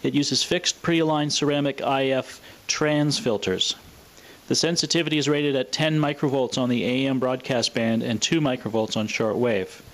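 A man presenting scripted technical narration in a measured, matter-of-fact manner. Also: medium pitch, fairly bright tone, rough recording. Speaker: presenter of a vintage radio demonstration.

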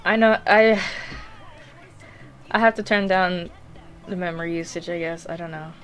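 A woman's voice: fairly low pitch, fairly even intonation, normal speed, fairly bright tone, faint music behind. A young woman casually commentating a video game as she plays, chatty and easily distracted, swearing and laughing now and then, on a headset mic in a small room at home. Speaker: gaming streamer. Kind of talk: casual let's play commentary.

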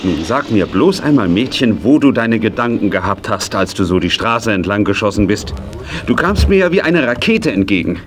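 Kindly, animated horse-estate owner, standard German. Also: male voice, fairly low pitch, normal speed, background noise. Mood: concerned, friendly. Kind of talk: children's radio play acting.

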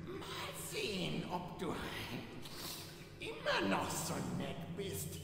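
A theatrical mad-doctor villain gloats very slowly, the pitch swinging widely. It is comic radio drama in a cavernous reverb. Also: male voice, huge pitch swings, gravelly, noisy background, full character voice. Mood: gloating. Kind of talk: comic radio play acting. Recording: very echoey hall.

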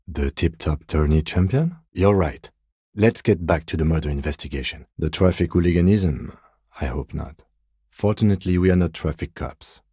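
A very low-voiced, reserved police detective from a video game. He speaks in a dark, slightly husky tone, formal and measured, with deadpan understatement and dry wit. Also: no echo, exaggerated voice. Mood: dry, composed. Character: reserved, dry-witted, principled male police detective partner. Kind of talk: scripted video game dialogue lines.

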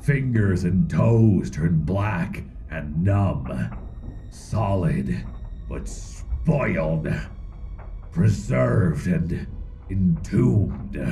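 Man playing a slow, low, menacing hungry monster. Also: dark tone, heavily acted. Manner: halting, drawn-out, insistent. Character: menacing hungry monster mimicking crewmates, pleading to be let in. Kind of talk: horror ASMR audio roleplay. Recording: close binaural recording with background noise.

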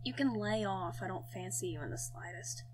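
A woman's voice: medium pitch, lively intonation, normal speed, noisy background, natural voice. A woman voicing a wary, prickly man from London, guarded and terse, in an audio story. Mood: irritated.

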